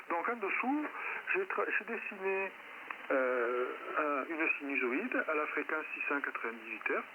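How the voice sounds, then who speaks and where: A man giving an improvised technical lecture as an amateur radio operator, explaining didactically in a halting, self-correcting way over a shortwave radio link. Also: a little high. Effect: shortwave single-sideband radio transmission. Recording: narrow-band, very noisy shortwave radio link. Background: loud radio static noise.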